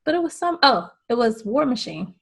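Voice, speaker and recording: A woman hosting a podcast in casual chat, relaxed and rambling. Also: fairly high pitch, normal speed, gravelly, husky. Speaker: podcast host.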